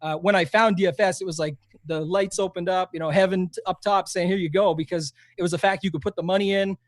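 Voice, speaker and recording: A man's voice: sits high, fairly even intonation, quick pace, no room sound. A young man with a Canadian accent, talking eagerly and rambling as a podcast guest in a casual interview. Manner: eager, rambling, frequent fillers and restarts. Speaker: guest, fantasy golf podcaster.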